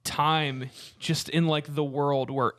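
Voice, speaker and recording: Guy on a podcast, voice a bit high, slangy enthusiastic casual chat. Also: level tone, takes it slowly, a bit bright. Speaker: podcast co-host.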